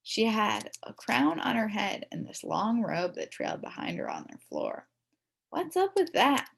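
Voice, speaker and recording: A woman reading a children's storybook aloud in an expressive, playful way. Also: medium pitch, normal speed, gravelly. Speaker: story reader.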